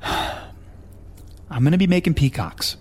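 A man's voice: on the high side, slow pace, slightly rough. A man playing a resigned, sheepish craftsman in an absurdist audio comedy sketch, speaking matter-of-factly. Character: resigned, sheepish goose builder quitting the trade.

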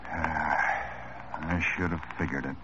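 An actor playing a weary, dogged police lieutenant with terse, low-key lines in an old radio crime drama. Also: male voice, fairly even intonation, unhurried, bright, forceful tone, slight background noise, heavily acted. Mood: grim. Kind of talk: 1950s radio drama acting. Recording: dull 1950s radio drama recording.

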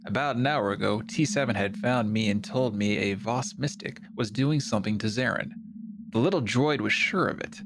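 Low-voiced man narrating over steady noise. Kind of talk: first-person audio drama narration.

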